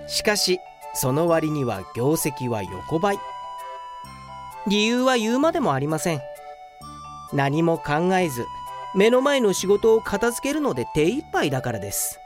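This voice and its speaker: Woman playing a self-satisfied young male office worker, lively delivery. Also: fairly low pitch, a little slow, over music. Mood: earnest narrating. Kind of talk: anime-like comedic radio drama.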